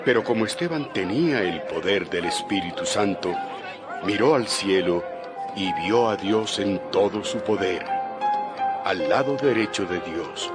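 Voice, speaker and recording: Deep male narrator over loud music. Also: lively intonation, a little slow. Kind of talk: scripted dramatized Bible narration. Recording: loud music and crowd noise behind. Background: loud dramatic music, crowd.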